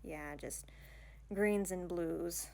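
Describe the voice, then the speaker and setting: A woman's voice: lowish voice, extremely slow, vocal fry, mellow, exaggerated voice. A woman playing an awkward, bashful young princess in a fantasy audio roleplay, hesitant and stammering, rambling in casual modern phrases. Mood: bashful, apologetic. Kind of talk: ASMR romantic fantasy audio roleplay, one-sided dialogue.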